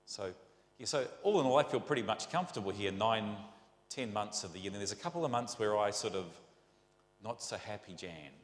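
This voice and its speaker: A man's voice: lowish voice, animated, fairly quick, echoey room, long reverb tail. A middle-aged man with a New Zealand accent preaching a conversational, rambling sermon full of rhetorical questions. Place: church.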